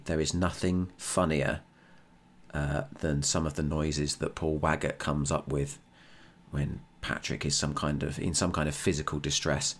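A man with a low voice and a British accent talks off the cuff, like a casual audio diary. He is candid and self-deprecating about his writing, and swears freely. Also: fairly bright tone. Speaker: podcast writer and creator.

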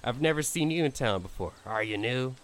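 A man's voice: mid-range voice, expressive, a little fast, lightly acted. A man playing a suspicious, terse townsman in an audio drama, with faint noise behind him. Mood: wary, terse.

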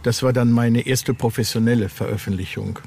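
A man's voice: medium pitch, steady tone, a little fast, gravelly, mellow, a little hiss or hum. Male interview guest, writer type, mid-range voice, conversational and slightly hesitant phrasing. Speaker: horror author and editor being interviewed.

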